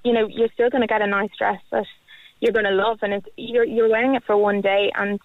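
A woman's voice: mid-range voice, level tone, a little fast, no echo. A young woman, talking hesitantly with lots of restarts. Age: 20s. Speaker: guest. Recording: tinny phone line.

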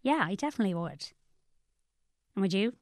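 A woman's voice: mid-range voice, animated, a little fast, no echo, studio quality, lightly acted. A woman acting naturalistically in a radio drama as a guarded, protective elder to someone younger, speaking plainly and matter-of-factly. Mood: guarded, reflective.

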